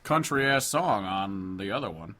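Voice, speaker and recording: A man's voice: on the low side, expressive, a little slow, sharp tone. A man co-hosting a casual music chat, blunt and incredulous, who swears freely. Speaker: podcast co-host.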